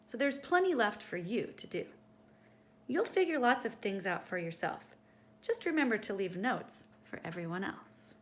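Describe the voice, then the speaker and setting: A woman reading a picture book aloud to children in an expressive, teacherly way, speaking directly to her young listeners. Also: mid-range voice, moderate pace.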